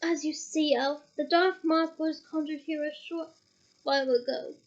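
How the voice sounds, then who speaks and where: A woman's voice: high voice, steady tone, moderate pace, highs cut off, lightly acted. A woman reading a stern, barking wizard official. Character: stern official wizard, middle-aged man, accusing.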